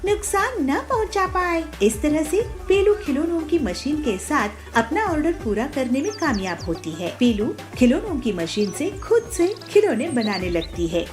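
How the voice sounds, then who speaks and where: A woman narrating a scripted children's cartoon story. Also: a little high.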